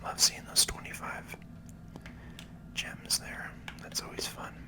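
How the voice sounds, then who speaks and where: A person giving whispered, casual ASMR commentary while hosting a video game playthrough, explaining things as they go. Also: slow. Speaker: host, gaming video creator.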